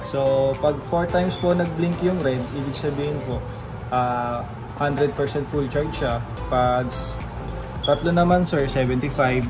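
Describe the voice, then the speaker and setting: A man's voice: mid-range voice, measured pace, rough recording. A Filipino man working as shop staff, politely explaining a product step by step in an informal demonstration. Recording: music playing behind the voice. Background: music.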